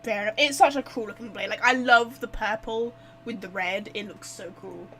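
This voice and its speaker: A woman's voice: medium pitch, moderate pace, a bit bright. A woman game streamer giving casual live commentary with offhand, muttered reactions.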